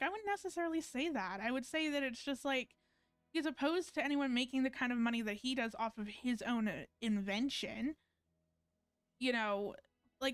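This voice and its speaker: Smooth-voiced woman streamer, rambling casually. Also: sits high, dry recording. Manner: rambling, colloquial, frequent hedging and self-interruption. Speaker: game streamer. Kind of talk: casual live game commentary.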